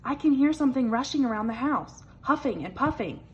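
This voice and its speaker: A woman's voice: high voice, animated, a little fast, breathy edge, voice pushed up, full character voice. A woman voicing a frightened, needy little boy mouse for a children's storybook, calling out plaintively and insistently. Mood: frightened, urgent. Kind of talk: children's storybook read-aloud.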